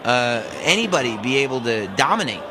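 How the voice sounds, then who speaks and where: A man calling a wrestling match as a color commentator, excitable and often shouting for emphasis. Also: mid-range voice, a little fast, noisy background. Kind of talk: live play-by-play commentary.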